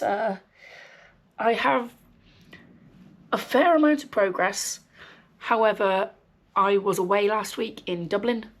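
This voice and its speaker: Gravelly woman with a cold. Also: mid-range voice. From West Midlands, England. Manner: chatty, frequent ums, dry swearing asides. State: recovering from a cold, slightly nasal and wheezy. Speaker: podcast host. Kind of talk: casual rambling chat.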